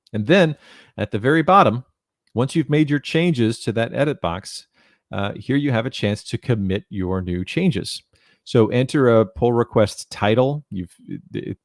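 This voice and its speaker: A man's voice: mid-range voice, darkish tone, no room sound, studio quality. A middle-aged man giving a conversational technical presentation in an informal, reassuring manner. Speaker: presenter, software documentation content developer. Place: indoor room at home, online conference video call. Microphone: headset.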